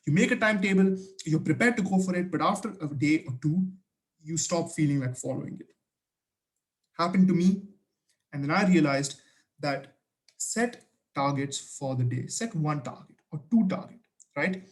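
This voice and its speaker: A young man whose first language is Hindi gives study tips in a casual vlog. His voice sits a little high, and he speaks earnestly and with emphasis. He often stops and restarts his sentences as he goes. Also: expressive, normal speed. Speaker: student vlogger giving study advice.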